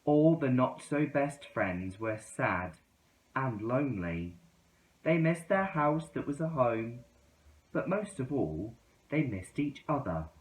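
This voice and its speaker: A man reading a children's story aloud in an expressive storytelling style. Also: mid-range voice, slow. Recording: roomy, echoing indoor sound.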